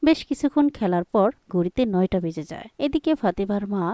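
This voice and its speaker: A woman's voice: medium pitch, expressive, brisk, dark tone, no echo, studio quality. A woman narrating a scripted children's cartoon story.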